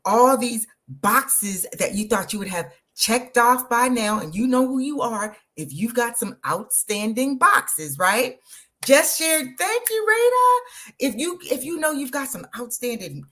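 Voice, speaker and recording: A woman, hosting an energetic, motivational live stream and talking straight to her viewers. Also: fairly high pitch, measured pace. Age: 50s. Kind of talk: live social media stream.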